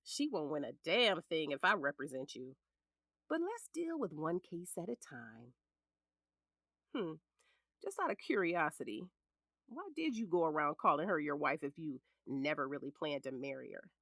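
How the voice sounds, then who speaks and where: An actress with an American accent playing a sharp-tongued, protective middle-aged lawyer and scornful ex-wife in an audio drama, blunt and commanding. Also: female voice, sits low, very animated, normal speed, no echo, studio quality, full character voice. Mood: wry scorn.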